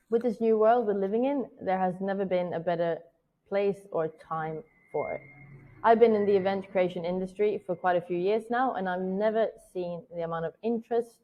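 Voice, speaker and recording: A woman's voice: medium pitch, warm tone, low-quality recording. Swedish woman presenting a webinar. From Sweden. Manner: earnest, personal storytelling. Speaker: virtual event strategist.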